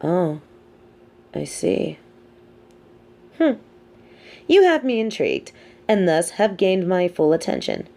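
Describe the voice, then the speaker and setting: Woman acting slow, mellow, scheming female supervillain. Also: on the low side, very animated, gravelly, exaggerated voice. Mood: probing, intrigued. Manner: theatrical, measured, wry, drops into muttered asides. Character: scheming, sardonic female supervillain, grudgingly sympathetic to a captive. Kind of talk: intimate audio roleplay monologue, scripted.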